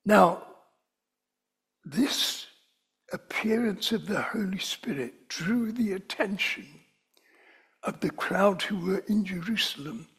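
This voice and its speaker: Breathy London-accented preacher. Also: male voice, sits high, takes it slowly, long pauses, a bit bright. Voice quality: very breathy, often whispery. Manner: conversational, digressive, rising to emphatic stresses. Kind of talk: sermon.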